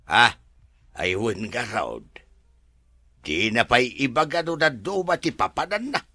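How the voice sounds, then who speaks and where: Bright-voiced, cautious male aide. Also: medium pitch, lively intonation, slow, dry recording, full character voice. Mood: advising. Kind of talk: Ilocano radio drama acting.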